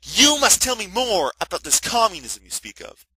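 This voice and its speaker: Male actor as naive, excitable schoolboy for story drama: very sharp, high, swooping voice. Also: normal speed, breathy edge, no room sound, full character voice, poor audio. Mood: eager, curious. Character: eager, naive teenage boy, hopeful and curious. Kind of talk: amateur dramatic reading of fan fiction.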